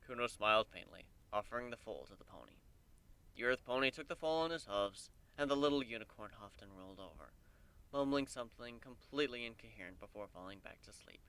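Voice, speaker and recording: Bright-voiced, animated male storyteller. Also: mid-range voice, a little fast, clean tone. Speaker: narrator. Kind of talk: dramatic story reading.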